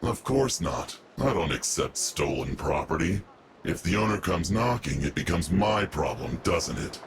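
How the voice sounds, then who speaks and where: A man's voice: sits low, lively intonation, unhurried. A man playing a guarded, businesslike underworld agent in a video game, speaking in a wary, formal way. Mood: cool. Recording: loud ambient noise behind.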